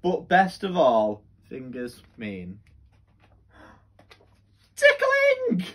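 A woman voicing Barry, a cheerful male fish with fingers, in a playful, excited way. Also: fairly low pitch, extremely slow, husky, heavily acted.

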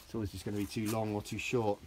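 A middle-aged man with a low voice, rambling through a casual hands-on garden demonstration with lots of restarts. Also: little pitch movement, fast talker, darkish tone, a little hiss or hum. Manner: rambling, with many restarts and fillers. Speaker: DIY vlogger. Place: outdoors in a garden.

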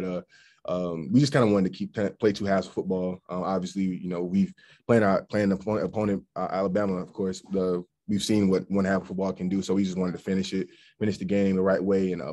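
A young man with a low, flat, dark voice rattles through earnest answers full of fillers and restarts. He speaks quickly at a post-game press conference, heard over a video call. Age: young adult. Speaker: college football offensive lineman. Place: press backdrop room, indoors.

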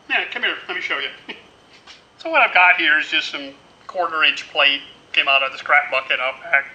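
Bright-voiced chatty man teaching welding. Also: a little high, low-quality recording. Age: middle-aged. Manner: chatty, folksy, lots of "you know". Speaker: welding instructor. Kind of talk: casual shop demonstration. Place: indoor metal workshop.

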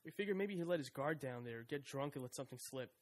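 A man's voice: medium pitch, animated, quick pace, natural voice. A young man voicing a cocky, wisecracking slacker who talks in laid-back slang. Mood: joking. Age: young adult. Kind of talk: audio drama acting and narration.

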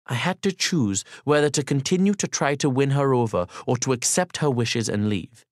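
A man narrating a literary audiobook in the first person, in a formal, measured and polite manner. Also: medium pitch, dry recording, studio quality.

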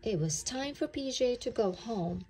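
A woman narrates a picture book for children. She takes her time over each phrase, with widely varying intonation. Her delivery is expressive and deliberate. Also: a little high. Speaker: storybook reader for children. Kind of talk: read-aloud children's story narration.

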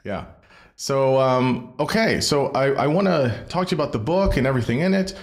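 A man's voice: mid-range voice, animated, normal speed. A man hosting a podcast, introducing his guest and interviewing him enthusiastically with many fillers and restarts. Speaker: podcast host.

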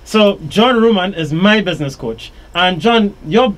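A Trinidadian man hosting a live radio interview from a small studio, talking upbeat in quick bursts into a boom mic. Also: lively intonation, fairly bright tone, low-quality recording. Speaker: radio show host. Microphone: studio boom mic with headphones.

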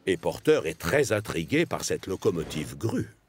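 A man narrating a children's cartoon as a friendly, expressive storyteller. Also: low-pitched, normal speed, over music. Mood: storytelling.

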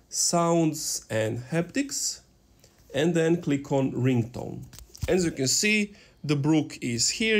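A man in his twenties hosting a casual step-by-step tech tutorial in an informal, instructional way. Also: medium pitch. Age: 20s. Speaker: tutorial host. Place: small room indoors.

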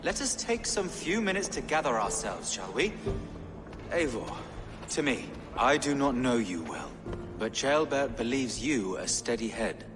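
A medieval bishop, placating and earnest, his pitch moving with animation. The voice echoes in a long hall over game music. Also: big pitch swings, a little slow. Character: placating older male bishop.

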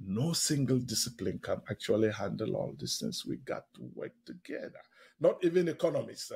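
Husky-voiced man explaining in an interview. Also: medium pitch, a little mellow. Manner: emphatic, short repeated phrases, rhetorical questions. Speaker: professor, interview guest. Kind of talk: radio interview, explaining his research.

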